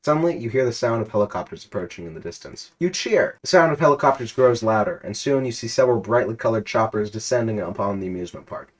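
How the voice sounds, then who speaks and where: Man reading an absurd story deadpan. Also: lowish voice, quick pace, hardly any pauses. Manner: deadpan narration, breaking into laughter.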